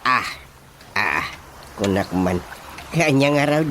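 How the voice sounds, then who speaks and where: A man with a Filipino accent playing a boastful, excitable village chairman in a radio comedy drama, blustering and exclaiming. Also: medium pitch, takes it slowly, slight background noise. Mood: flustered. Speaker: actor. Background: music.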